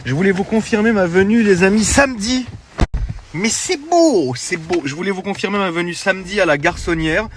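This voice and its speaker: A man chatting casually into his phone from a car, relaxed and slangy, with lots of fillers and restarts. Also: sits high, moderate pace, background noise. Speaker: social media creator. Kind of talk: casual phone story announcement.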